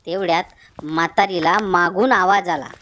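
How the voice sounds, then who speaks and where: A woman's voice: on the low side, fairly even intonation, normal speed, fairly bright tone. A woman narrating a scripted children's story as the storyteller.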